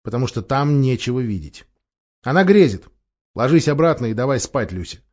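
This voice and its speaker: A man's voice: mid-range voice, animated, a little fast, darkish tone, highs cut off, lightly acted. A man voicing a bossy, dismissive older sister in an audiobook, with curt delivery. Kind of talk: audiobook dialogue.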